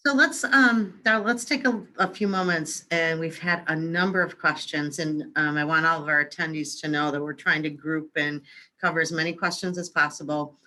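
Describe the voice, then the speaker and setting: A woman moderating a webinar question session, briskly recapping and rephrasing audience questions for the presenter. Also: low-pitched, pushed and bright.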